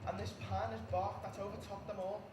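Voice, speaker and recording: A man's voice: high voice, level tone, brisk, over music, natural voice. A man playing a betrayed, despairing Roman general in an impassioned, declamatory classical Shakespeare monologue on stage. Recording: distant echoey room with background noise.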